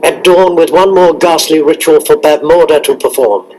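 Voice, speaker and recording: A man giving dramatic scripted narration for a children's read-along cassette, over loud music and effects. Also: on the high side, moderate pace, poor audio. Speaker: storybook narrator.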